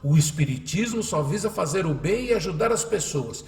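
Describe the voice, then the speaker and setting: Actor as expressive, folksy Brazilian husband, roomy. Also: male voice, fairly high pitch, moderate pace. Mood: reassuring. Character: devoted, reassuring husband and father from a country town. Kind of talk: radio drama acting.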